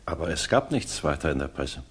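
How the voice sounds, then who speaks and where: A reserved, pedantic English gentleman in a 1960s radio play. His voice is deep and husky. He speaks formally and softly, with some hesitation. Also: very animated, a little fast, heavily acted. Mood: awkward, gentle. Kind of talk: 1960s German radio drama acting.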